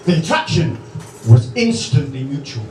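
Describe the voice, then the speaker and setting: A man narrating a spoof radio bedtime story live on stage, theatrically, with dramatic pauses. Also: on the high side, sing-song, a little mellow. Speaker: narrator of a live comedy sketch. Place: large indoor club event before live audience. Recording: echoey noisy hall, distant microphone.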